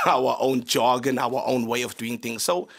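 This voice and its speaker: A man's voice: medium pitch, a little slow, vocal fry. A man from South Africa with a South African accent, a comedian guest telling stories openly and laughing often. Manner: open, animated storytelling, laughs often. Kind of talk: casual radio interview. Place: radio studio.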